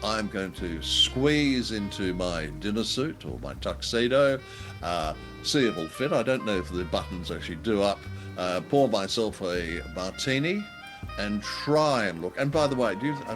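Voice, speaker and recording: A man's voice: on the low side, lively intonation, moderate pace, over music. An Australian man co-hosting a casual radio chat show, speaking in a wry, jovial, hesitant and wordy way. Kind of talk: casual conversational review of old radio drama.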